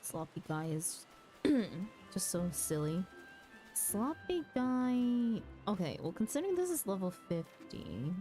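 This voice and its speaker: A woman chatting casually as she streams a game, halting and muttering asides to herself, sometimes reading dialogue aloud. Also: medium pitch, big pitch swings, dark tone. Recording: music behind the voice. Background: background music.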